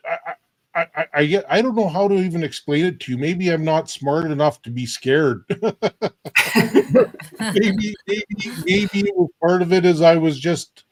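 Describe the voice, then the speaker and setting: A man's voice: fairly high pitch, darkish tone, no room sound. Candid Canadian man on a live panel. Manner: rambling, candid, halting with restarts. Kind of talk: live online panel chat.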